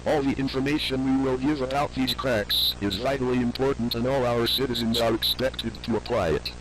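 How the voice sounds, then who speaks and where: A man's voice: medium pitch, steady tone, brisk, close to an everyday voice, poor audio. A man playing a grave government announcer reading a scripted emergency alert in a formal, instructional way.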